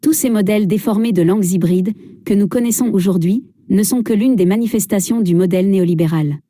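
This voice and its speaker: A woman's voice: mid-range voice, a little fast, dark tone, no room sound, clean studio sound. A woman giving a formal, solemn reading of a translated speech.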